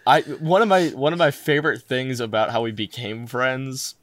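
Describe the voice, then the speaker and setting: A man talking as a guest on a podcast in casual chat, dry, low-key and tired. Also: lively intonation, moderate pace, crowd noise.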